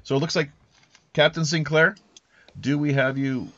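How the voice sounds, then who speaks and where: A man's voice: fairly high pitch, steady tone, normal speed, fairly bright tone, no room sound, lo-fi sound. A man hosting an unscripted podcast in a rambling, folksy way, with playful pirate lingo. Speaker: podcast host.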